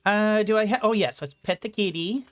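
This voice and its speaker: A woman gently thinking aloud as she plays a farming game for her channel, her throat a little gunky today. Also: medium pitch, normal speed. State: slightly congested throat. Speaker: gaming YouTuber. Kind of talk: let's-play commentary.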